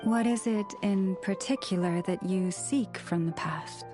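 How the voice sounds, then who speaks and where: A woman playing a young moon priestess in a video game, solemn, gentle and earnest, speaking in a measured, formal, reverent way. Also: mid-range voice, over music, close to an everyday voice. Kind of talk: video game cutscene acting.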